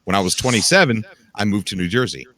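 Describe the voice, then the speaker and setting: A man's voice: lowish voice, expressive, fast, sharp tone. An American man hosting a casual live group chat in a bantering, conversational way. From Ohio and Florida, United States. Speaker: stream host.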